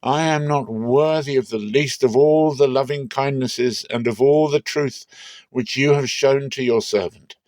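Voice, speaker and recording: A man's voice: medium pitch, measured pace, darkish tone, no echo, voice pushed up, close to an everyday voice. A man voicing a humble, frightened patriarch who pleads earnestly in prayer, in a dramatic scripture reading. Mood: pleading, fearful.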